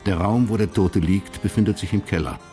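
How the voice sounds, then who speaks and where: A male actor is the sober narrator of a historical crime story on radio. His voice is deep. He reads the script deliberately and factually, with some soft music around it. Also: natural voice.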